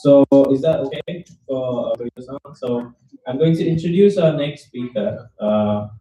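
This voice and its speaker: Male event host, mellow voice, speaking haltingly with fillers, distant and roomy hall sound. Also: mid-range voice. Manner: halting, many fillers and restarts. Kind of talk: live event emcee speech. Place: university event venue.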